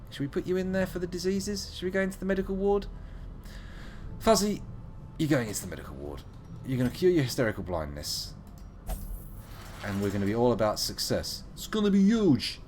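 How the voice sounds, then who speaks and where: A young man commenting on a game he is playing live. His voice bounces lively between high and low as he jokes and mutters to himself, and a faint hum of room noise sits behind him. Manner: playful, joking, muttering asides. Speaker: streamer playing a video game.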